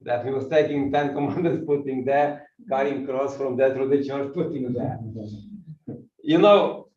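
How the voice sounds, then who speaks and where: A man's voice: mid-range voice, measured pace, darkish tone. A man from Ukraine with a Ukrainian accent, preaching a sermon and putting questions to the congregation. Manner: walks while preaching, asks the congregation questions. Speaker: guest pastor. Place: church hall. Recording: echoey church from far off, dull sound.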